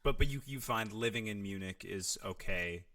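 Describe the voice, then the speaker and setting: A man's voice: on the low side, normal speed, bright, forceful tone. A man from the United States, an actor, casually asking for career advice in a hesitant, roundabout way. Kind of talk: casual question-and-answer chat.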